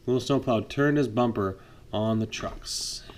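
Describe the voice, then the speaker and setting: A man reading a picture book aloud to his child at bedtime, lively, pausing to chat with the child. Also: medium pitch, slight background noise. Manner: lively read-aloud, voices for the trucks, chats with the child. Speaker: father reading a bedtime story.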